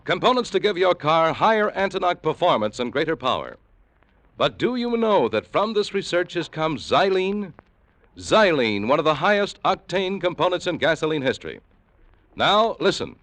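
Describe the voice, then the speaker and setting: A man's voice: fairly high pitch, very animated, moderate pace, a bit bright, no room sound. An American man announcing a 1950s radio commercial with polished, emphatic salesmanship. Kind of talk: 1950s radio advertising pitch. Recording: dull, dry vintage radio recording.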